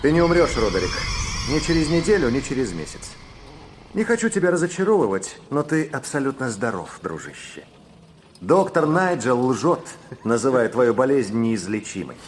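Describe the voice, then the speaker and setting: An actor playing a sharp, cool-headed male detective who speaks curtly and accuses without hesitation, in a radio play. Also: mid-range voice, big pitch swings, normal speed, slight background noise, natural voice. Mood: blunt. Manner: curt and accusing.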